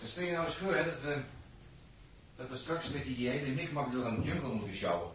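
A man's voice: mid-range voice, fairly quick, fairly bright tone, slight background noise, lightly acted, rough recording. An actor playing a down-to-earth, wry spaceship crewman with a Netherlands Dutch accent, in colloquial, grumbling 1960s radio drama style. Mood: busy, grumbling. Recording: husky-sounding old 1960s radio recording.

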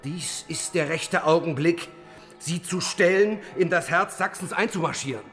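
Man playing a high-voiced fiery revolutionary preacher. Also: moderate pace, a bit bright, soft background music, lightly acted. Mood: urgent, challenging. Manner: impassioned, insistent, declamatory. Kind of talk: historical audio drama dialogue.

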